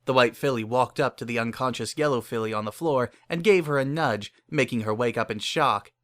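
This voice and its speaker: A man's voice: mid-range voice, a little fast, fairly bright tone. A man narrating a scripted story, reading the prose as written.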